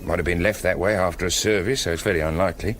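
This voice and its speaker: Matter-of-fact mechanic, male radio actor: lowish, unhurried, noisy backdrop. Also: fairly even intonation, close to an everyday voice. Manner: laconic, unflustered. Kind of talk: British radio drama acting. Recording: radio drama with clear background noise.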